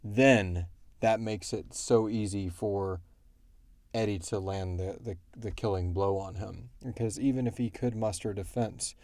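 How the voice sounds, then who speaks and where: A man co-hosting a casual question-and-answer chat, thinking aloud and explaining things in a halting way. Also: fairly low pitch, steady tone, a little mellow, no room sound, clean studio sound. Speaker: podcast co-host and show creator answering fan questions.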